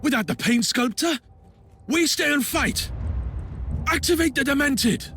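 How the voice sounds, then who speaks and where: An actor playing an imperious alien archon who gives scornful commands. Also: male voice, very high-pitched, lively intonation, measured pace, very noisy, exaggerated voice. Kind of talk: video game cutscene voice acting.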